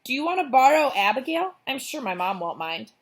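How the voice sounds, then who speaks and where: A woman's voice: sits high, big pitch swings, brisk, pitched above the performer's own voice. A woman voicing a young girl in a children's storybook, loyal and teasing, talking in a casual kid manner. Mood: polite excuse.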